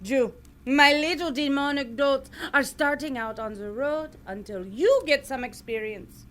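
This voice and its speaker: A tough, mocking little boss from Hell acted by a woman, high in pitch and unhurried in delivery. She is brash and condescending, in audio comedy style. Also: a bit bright. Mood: mocking, scornful. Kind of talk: audio drama comedy acting.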